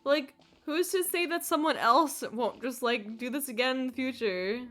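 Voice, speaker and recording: A woman's voice: high voice, normal speed, fairly bright tone. A young woman game streamer chatting casually and informally on a livestream, with a bubbly manner. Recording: game music behind. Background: game music.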